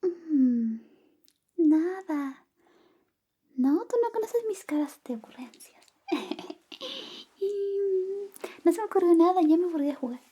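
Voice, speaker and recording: A young woman playing a coy, jealous best friend in a soft, whispery ASMR personal attention roleplay. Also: animated, heavily acted. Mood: playful, evasive. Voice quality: soft, whispery, high-pitched. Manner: slow, coy, murmuring. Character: jealous female best friend secretly in love, teasing, caring.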